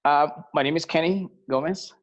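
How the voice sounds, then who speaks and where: A man from Venezuela, a musician guest on a podcast, talking in a relaxed, hesitant way with many fillers and restarts. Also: on the high side, moderate pace. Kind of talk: casual conversational interview. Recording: slightly dull lo-fi sound.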